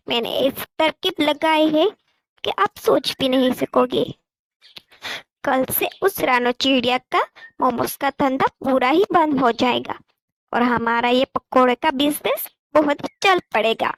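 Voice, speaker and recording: Woman playing a scheming high-voiced lady crow. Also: expressive, measured pace, fairly bright tone, no room sound, exaggerated voice, rough recording. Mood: smug scheming. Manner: smug, confident. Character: scheming, greedy female crow wife.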